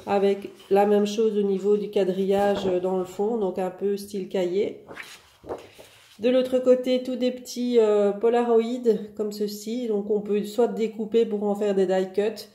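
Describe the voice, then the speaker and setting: A woman's voice: mid-range voice, dark tone, reverberant. A woman with a head cold presenting new craft paper collections for her online shop in a chatty way, with many fillers. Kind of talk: casual product showcase.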